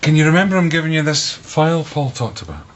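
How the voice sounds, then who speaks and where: An actor playing a serious, curious investigator who quietly probes in a naturalistic radio drama. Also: male voice, fairly high pitch, very animated, fast, slight background noise. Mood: probing. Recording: dull, muffled sound.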